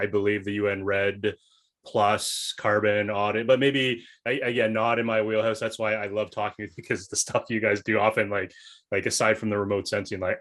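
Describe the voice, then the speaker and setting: A man's voice: fairly low pitch, a bit bright. A man hosting an interview in a casual, informal way, often rephrasing as he goes. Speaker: podcast host.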